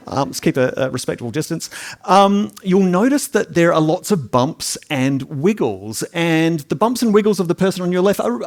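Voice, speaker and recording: A middle-aged man with a fairly high voice gives a live science lecture. He keeps it chatty and jokey, talking straight to the audience and restarting his phrases as he goes.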